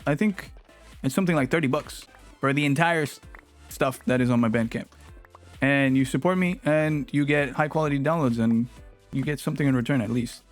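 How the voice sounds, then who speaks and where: A man's voice: medium pitch, brisk, soft background music. A young man whose first language is Spanish, chatting casually and playfully with his live-stream chat. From Latin America. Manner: animated, playful, teasing with chat.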